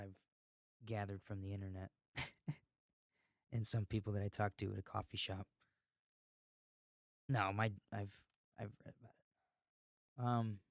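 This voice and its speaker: Low-voiced man rambling on a podcast. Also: a bit bright, dry recording, clean studio sound. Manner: ranting, informal, swearing. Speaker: podcast host. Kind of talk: casual rambling podcast monologue.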